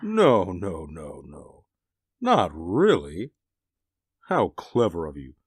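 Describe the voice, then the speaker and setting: An actor playing a smug, successful middle-aged star actor in an old radio drama, with a polished, clipped manner that stammers under pressure. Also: male voice, deep voice, wildly swooping pitch, takes it slowly, slightly rough, dry recording, heavily acted. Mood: amused. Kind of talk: 1940s radio acting.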